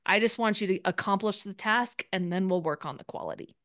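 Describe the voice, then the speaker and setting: A woman's voice: medium pitch, fairly quick, a bit bright, no room sound, studio quality. A woman coaching people in a live group session, speaking in a direct and encouraging way. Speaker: business coach.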